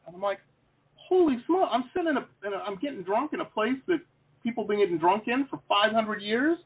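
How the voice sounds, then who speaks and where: A middle-aged man hosting a casual interview, rambling and animated, with lots of fillers. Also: high voice, fairly quick, husky. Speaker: host. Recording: phone-like lo-fi line.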